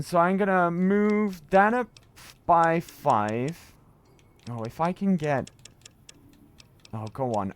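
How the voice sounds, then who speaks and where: A man with a croaky voice casually chatting to viewers while playing a game on a livestream, breaking into sudden exclamations. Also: mid-range voice. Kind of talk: casual gameplay livestream chat.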